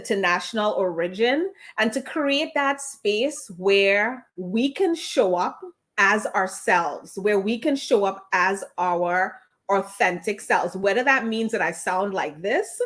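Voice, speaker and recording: A woman's voice: mid-range voice, fairly bright tone. A woman with a slight Trinidadian accent, an immigration attorney speaking candidly in an interview, often restarting her phrases over a video call. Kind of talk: conversational interview answers.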